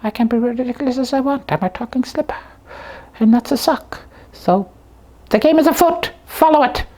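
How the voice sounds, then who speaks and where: A woman's voice: sits high, normal speed, dark tone. A woman voicing a hungry young talking slipper who plays detective, telling the tale with pompous earnestness in a comic puppet show. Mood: earnest.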